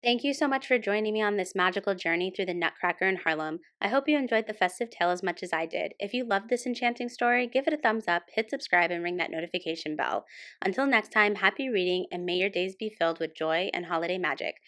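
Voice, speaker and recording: A woman hosting a storytime and reading a children's picture book aloud as expressive scripted narration. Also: mid-range voice, quick pace. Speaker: storytime host and reader.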